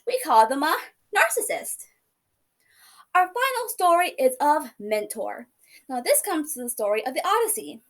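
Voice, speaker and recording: A young woman giving a class speech, telling stories in a playful, casual way. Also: high-pitched. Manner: lively, playful storytelling with casual asides. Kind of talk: informative speech.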